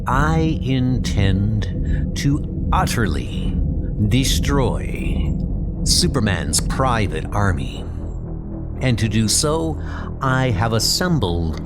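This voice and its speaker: Man playing a slow, haughty criminal mastermind. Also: mid-range voice, very animated, loud music behind the voice. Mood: haughty, menacing. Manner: measured, haughty, menacing. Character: proud, cold male criminal mastermind. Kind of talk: comic book dub acting.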